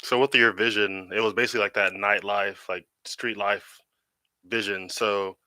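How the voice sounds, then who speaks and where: A man's voice: on the low side, fairly even intonation, moderate pace, sharp tone, dry recording. A man hosting a podcast, asking casual questions and searching for his words. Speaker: podcast host.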